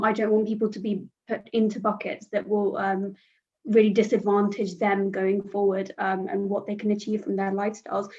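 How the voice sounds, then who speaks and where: A woman's voice: medium pitch, a little mellow. A young woman in her twenties, not a native English speaker, hosting a podcast with polished introductions. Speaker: host. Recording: dull lo-fi audio.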